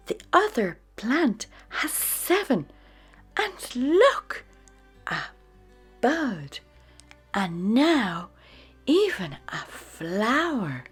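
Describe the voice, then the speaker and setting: A woman narrating a children's storybook in a dramatic, expressive read-aloud style. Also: a little high, husky. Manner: dramatic, with hushed phrases and sudden exclamations.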